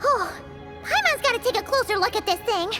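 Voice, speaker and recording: A woman's voice: very high-pitched, sing-song, brisk, full character voice. A woman voicing a tiny, chirpy, nosy female companion who talks about herself in the third person, in a game. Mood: curious. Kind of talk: video game voice acting. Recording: game audio over loud music.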